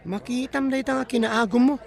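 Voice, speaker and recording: A man's voice: very high voice, brisk, vocal fry. A man acting an impatient, money-minded grumbler in a comedy audio drama, whiny. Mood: grasping.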